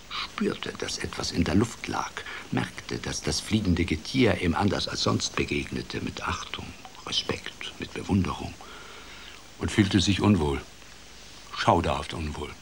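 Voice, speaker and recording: An actor playing a playful storyteller who tells a children's fairy tale in an expressive, theatrical way for a radio drama. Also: male voice, very deep voice, creaky, heavily acted. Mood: hushed, pensive.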